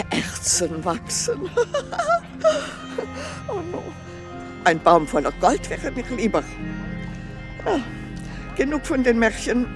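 A woman's voice: fairly high pitch, huge pitch swings, takes it slowly, pauses often, full character voice. An actress playing a haughty, impatient queen in a children's radio play, pompous and exclamatory, sprinkling in French words. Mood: scornful. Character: haughty, impatient queen, easily disgusted, scornful. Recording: studio radio play with music.

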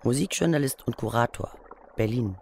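A man's voice: mid-range voice, lively intonation, normal speed, vocal fry, darkish tone, slight background noise. A man reading scripted documentary narration for a radio feature.

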